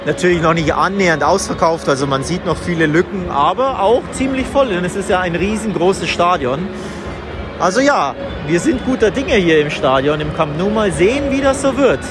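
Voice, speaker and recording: A man's voice: sits high, lively intonation, normal speed. A man giving casual live football commentary as a podcast host, chatting informally as he describes the scene. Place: outdoors in the stands of a large football stadium. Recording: outdoor stadium with crowd sound. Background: stadium crowd noise and music.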